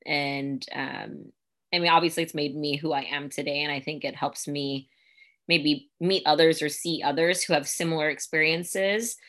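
A woman's voice: deep voice, steady tone, moderate pace, a bit bright. A young woman from the United States telling a personal story in a casual conversation, reflective and full of fillers. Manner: reflective, uses many fillers like "like" and "um". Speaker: guest.

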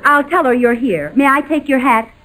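A woman's voice: high-pitched, moderate pace, fairly bright tone. An actress playing a playful, scheming lady of the house in a 1940s radio sitcom, wisecracking and theatrical. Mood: bright, mannered. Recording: noisy vintage 1940s radio recording.

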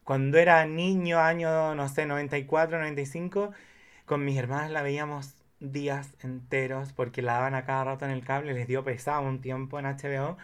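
Male podcast co-host, Chilean accent, mid-pitched. Casual, confessional delivery with a playful camp manner. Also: a bit bright, clean tone. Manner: playful, campy, drops English phrases. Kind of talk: casual, confessional chat about films.

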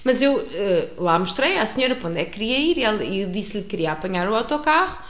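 A woman with a European Portuguese accent telling a personal travel story to an audience, expressive, with many restarts. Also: medium pitch, fast talker. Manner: expressive, acts out dialogue, frequent restarts. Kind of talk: animated storytelling. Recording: roomy echo.

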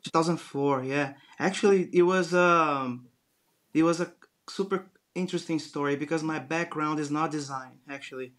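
Brazilian man, forties, earnest guest, slightly high voice, Brazilian accent. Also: normal speed, a bit bright. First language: Portuguese. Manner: earnest, storytelling, frequent restarts. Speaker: guest entrepreneur. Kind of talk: casual interview conversation.

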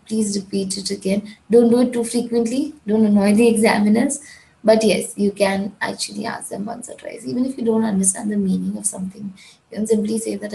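A woman's voice: mid-range voice, vocal fry, mellow, echoey room, a little hiss or hum, low-quality recording. A woman from India with a Gujarati English accent, teaching an IELTS speaking lesson in a firm, direct way that repeats key points. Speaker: IELTS tutor. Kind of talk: instructional talk.

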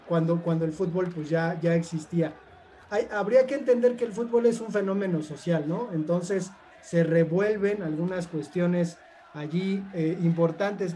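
High, bright-voiced Mexican man. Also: a little hiss or hum. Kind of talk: casual panel discussion, anecdotal.

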